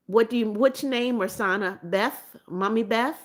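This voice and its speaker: A woman in her seventies hosting a casual livestream. She reads viewer comments aloud and answers them with frequent ums and restarts, and she often repeats her phrases. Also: a little high. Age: 70s. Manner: calls herself Mommy Beth and repeats phrases. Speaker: livestream host. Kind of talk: casual live-stream chat.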